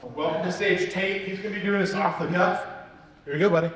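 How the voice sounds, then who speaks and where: Higher-voiced man emceeing in an echoing hall. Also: brisk, background noise. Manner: jokey, chummy asides. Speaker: emcee introducing the speaker. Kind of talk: stage introduction. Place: conference room at an expo. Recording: echoey hall, far from mic.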